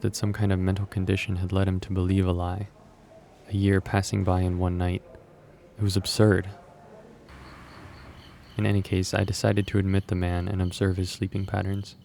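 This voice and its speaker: A man playing a composed, sceptical hospital doctor in a horror manga dub, measured and clinical, with a gravelly voice. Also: low-pitched, monotone, a little mellow, slight background noise.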